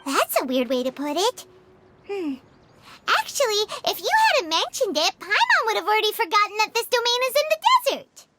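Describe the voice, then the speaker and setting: A woman voicing a tiny, excitable, blunt fairy companion in a video game, with a squeaky childlike voice. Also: moderate pace. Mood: puzzled. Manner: speaks of herself in third person. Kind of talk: video game cutscene acting. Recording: background music behind the voice.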